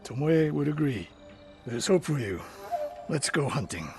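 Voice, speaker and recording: An actor playing a stern, world-weary older samurai archery master in a video game, gruff, terse and authoritative. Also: male voice, fairly high pitch, sing-song, unhurried, full character voice. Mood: measured, tactical. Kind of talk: dramatic video game acting. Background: music.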